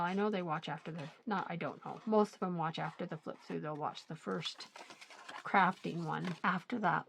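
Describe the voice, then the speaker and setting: Hoarse middle-aged woman, low gravelly voice, rambling relaxed craft-vlog chat, noisy room with clicks. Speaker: crafting channel host. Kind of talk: casual chat while crafting.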